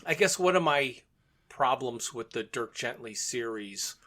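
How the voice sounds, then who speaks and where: A man's voice: mid-range voice, expressive, a little slow, bright, forceful tone. A man co-hosting a podcast, casually discussing and retelling a story in a conversational way. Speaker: podcast co-host.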